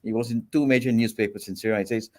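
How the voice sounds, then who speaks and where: A man in his forties from Singapore, a guest on an interview show, telling his story in a relaxed, steady way with many ums. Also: fairly low pitch, quick pace. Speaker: guest entrepreneur.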